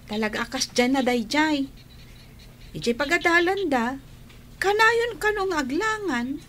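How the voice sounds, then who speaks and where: A woman's voice: sits high, expressive, unhurried, sharp tone, a little hiss or hum, heavily acted. A woman playing a loyal, protective schoolgirl big sister in a radio drama, with a spirited, caring manner. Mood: reassuring, insistent.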